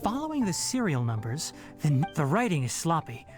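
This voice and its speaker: Game role: thoughtful female investigator, deep for a woman, lively intonation, composed and analytical. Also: music in the background. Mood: pensive. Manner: measured, analytical explaining. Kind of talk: dramatic video game voice acting.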